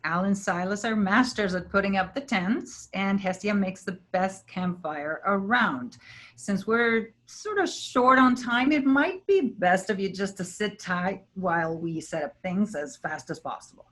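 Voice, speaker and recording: A woman's voice: medium pitch, measured pace, a bit bright, close to an everyday voice. Woman playing a kindly, firm troupe leader. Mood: brisk, gentle. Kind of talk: staged play reading.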